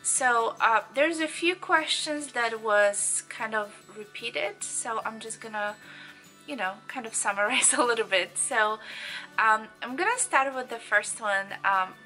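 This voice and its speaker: A woman's voice: on the high side, unhurried, pushed and bright, soft background music, poor audio. A middle-aged woman from Poland with a Polish accent, chatting casually to camera and answering viewer questions with lots of fillers and light laughs. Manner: chatty, loose phrasing with many fillers. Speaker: vlogger.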